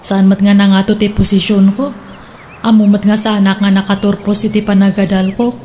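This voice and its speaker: A woman's voice: mid-range voice, flat delivery, fairly quick, warm tone, natural voice. A woman playing a practical, guarded younger working woman in a radio drama, with an Ilocano accent. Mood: modest, matter-of-fact. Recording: faint background noise.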